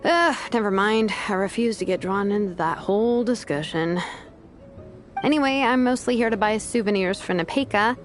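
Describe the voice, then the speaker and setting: A woman's voice: mid-range voice, normal speed, soft background music, close to an everyday voice. A woman voicing a laid-back, dryly wry young craftswoman with an older-sister manner in a video game. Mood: dry, mildly exasperated. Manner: dry, understated.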